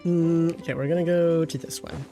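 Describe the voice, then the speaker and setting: Game streamer, middle pitch, casual live commentary, rambling, teasing, chatty, faint background music. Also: fairly even intonation, moderate pace, warm tone.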